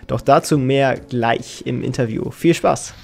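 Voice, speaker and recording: A man hosting a podcast, reading a scripted intro and then asking brisk, upbeat interview questions. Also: medium pitch, vocal fry, over music. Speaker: podcast host.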